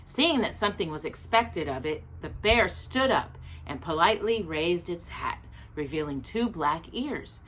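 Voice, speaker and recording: A woman reading a children's book aloud in an expressive, deliberate way with drawn-out emphasis. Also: lowish voice, slight background noise, poor audio. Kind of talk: expressive read-aloud children's story narration.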